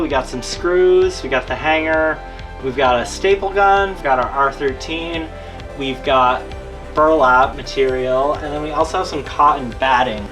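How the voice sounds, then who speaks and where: Casual man hosting a tutorial over music. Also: a little high. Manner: informal, easygoing. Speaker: host of a DIY build tutorial. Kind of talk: casual hands-on tutorial talk.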